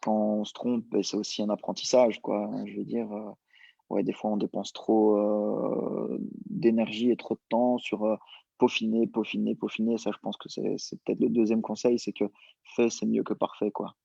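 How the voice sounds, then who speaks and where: A young man answering interview questions casually, relaxed and hesitant with many fillers. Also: on the low side, normal speed, creaky, breathy edge, no echo. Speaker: entrepreneur guest. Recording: dull lo-fi sound.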